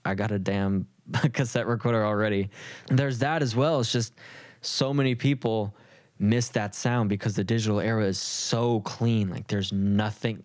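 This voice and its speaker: Guy w a lowish voice just chatting about stuff, rambly and slangy, super dry recording. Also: darkish tone, no echo. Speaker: music producer. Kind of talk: casual reflective talk.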